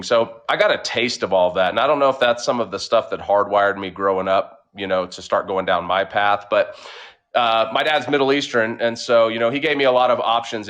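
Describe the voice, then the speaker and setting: A man from Oklahoma with a slight Oklahoma drawl, telling his life story as a guest in a casual interview, relaxed and full of fillers. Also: lowish voice. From Oklahoma, USA. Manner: relaxed, drawls some words, frequent fillers. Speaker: podcast guest, entrepreneur.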